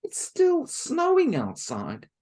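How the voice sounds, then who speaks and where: Brief: timid put-upon girl, voiced by a man, very high and lively, pleading, slightly husky. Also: slow pace, heavily acted. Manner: pleading, bewildered.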